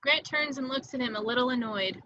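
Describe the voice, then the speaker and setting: A woman's voice: sits high, a little fast, pushed and bright, no echo, lo-fi sound. A woman reading screenplay stage directions as the narrator of a table read.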